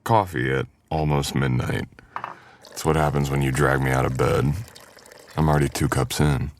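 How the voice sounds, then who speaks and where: A man's voice: rumbling low, steady tone, moderate pace, a little hiss or hum. A man playing a teasing, caring male best friend in an intimate boyfriend ASMR audio roleplay, with playful grumbling.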